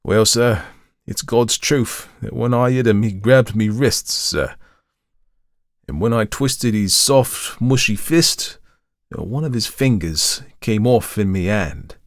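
A man voicing a nervous young Cockney man who keeps calling his listener sir, speaking in a halting, pleading way. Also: on the low side, dry recording, studio quality, voice pushed up. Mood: frightened. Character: young Cockney man, frightened, uneasy, deferential.

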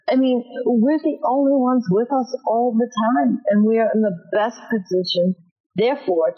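Woman with a big booming voice explaining self-defense, kinda husky, slightly dull audio. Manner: emphatic, acts out example lines. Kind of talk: instructive interview talk.

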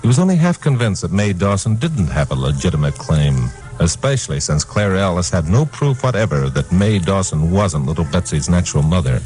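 An actor with an American accent playing a wry, level-headed investigator in a 1950s radio crime drama, measured and matter-of-fact. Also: male voice, low-pitched, big pitch swings, darkish tone, faint music behind. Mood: brooding narration. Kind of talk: 1950s radio crime drama acting with first-person narration.

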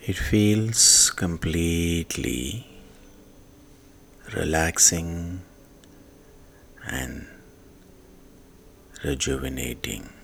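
A man with an extremely deep voice guides a sleep meditation very slowly. His delivery is hushed, with long pauses and a gravelly fry in the low notes. Phrases often trail off into breath. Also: a little hiss or hum. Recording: soft music behind the voice. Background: soft music.

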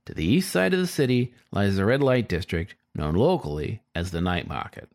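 A man's voice: lowish voice, lively intonation, moderate pace, a little mellow. A man voicing a sly, frustrated male fox who narrates his story in a wry, confiding, colloquial way, as in an audiobook.

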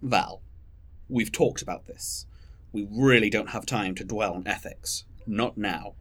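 An actor playing a stressed, controlling, pragmatic leader in an audio drama, with a husky voice. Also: low-pitched, measured pace, a bit bright, heavily acted. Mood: angry, insistent.

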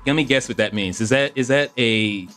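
A man with a cold co-hosting a comedy podcast as its producer, with wry, deadpan asides. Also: medium pitch, moderate pace, soft background music. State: sick. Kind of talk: casual comedic chat.

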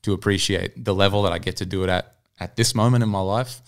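A man's voice: low voice, fairly even intonation, quick pace. A man speaking as an interview guest, a singer-songwriter telling anecdotes in an easygoing, conversational way. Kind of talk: casual interview chat, anecdotes.

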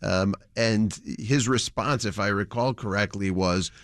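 Wry low-voiced man telling stories. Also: measured pace, no room sound, clean studio sound. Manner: wry anecdotal storyteller, chuckles while talking. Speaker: reporter panelist. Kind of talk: radio news roundtable.

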